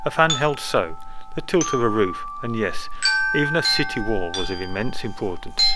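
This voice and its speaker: A man's voice: lowish voice, lively intonation, moderate pace, very noisy. A man reading a short story aloud as dramatic audiobook narration. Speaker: amateur story reader.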